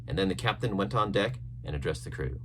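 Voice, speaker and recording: Male narrator, lowish voice, expressive storybook reading, faint background noise. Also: a little fast. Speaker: audiobook narrator.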